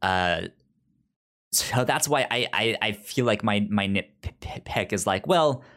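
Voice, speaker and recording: A man's voice: lowish voice, lively intonation, slow pace, fairly bright tone. A young man co-hosting a podcast in casual review chat, stuttering and restarting words often. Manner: heavy stutter with many repeated word starts. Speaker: podcast co-host.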